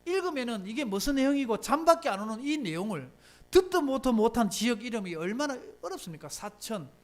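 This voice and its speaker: Pastor, lively up-and-down sermon delivery, punchy rhetorical questions, church setting. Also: medium pitch, a little fast. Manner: emphatic rhetorical questions, conversational preaching. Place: church sanctuary.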